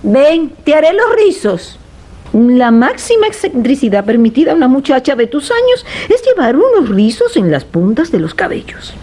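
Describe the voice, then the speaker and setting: A woman's voice: high voice, sing-song, moderate pace, warm tone. A woman playing a strict, prim old grandmother who scolds in a formal way in a drama. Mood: stern, prim.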